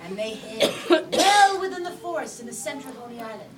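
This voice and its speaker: A woman's voice: high-pitched, expressive, brisk, a crowd in the background. A woman leading a kindergarten puppet show, telling the story in a theatrical, sing-song way and prompting the children to join in. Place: classroom. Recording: echoey classroom, far from the mic, music behind.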